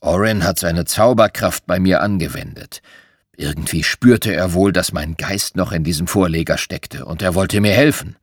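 A man voicing a kindly old bear spirit living in a flying rug, speaking gently and calmly. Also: low voice, moderate pace, husky, dry recording, clean studio sound, voice pushed down. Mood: gentle. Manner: gentle, chuckling, calm.

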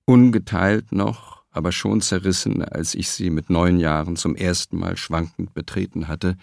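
A man reading a first-person novel aloud, measured and reflective, close on the microphone. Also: low voice, gravelly, darkish tone, dry recording. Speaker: audiobook reader. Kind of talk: scripted narration.

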